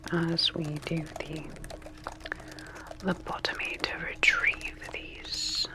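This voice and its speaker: A woman acting as a sinister female scientist at a sleep clinic, whispering very slowly in a breathy ASMR style. She is calm and detached, narrating her procedures like clinical notes in a sci-fi horror roleplay. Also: fairly low pitch, poor audio. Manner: slow, clinical, detached, measured.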